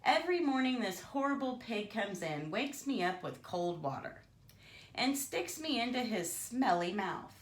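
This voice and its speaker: A woman acts out a dramatic, aggrieved toothbrush from a children's storybook. He scolds with emphatic indignation and swooping pitch. The recording is echoey. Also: sing-song, unhurried. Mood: indignant, sobbing.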